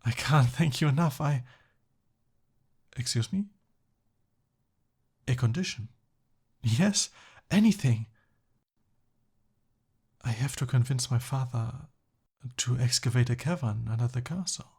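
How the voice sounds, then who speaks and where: A man acting an earnest, lovestruck young prince in a romantic ASMR roleplay, with halting, emotional, pleading and easily flustered delivery. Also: medium pitch, a little mellow, breathy edge. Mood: stunned, joyful.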